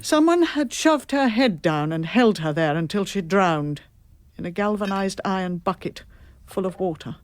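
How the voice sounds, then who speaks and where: A woman acting a middle-aged female mystery writer in a radio play. She is shaken and chatty, confiding in hesitant phrases at a middling pitch, in a close, dry sound. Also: animated, normal speed, no echo, natural voice. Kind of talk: radio drama dialogue.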